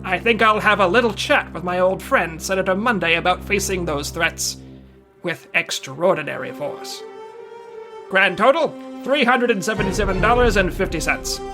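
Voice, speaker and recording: An actor playing a weary, wry and decisive hardboiled investigator in an old-time radio drama. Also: male voice, sits high, normal speed, over music. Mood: resolute narration. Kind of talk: old-time radio detective drama, first-person report narration.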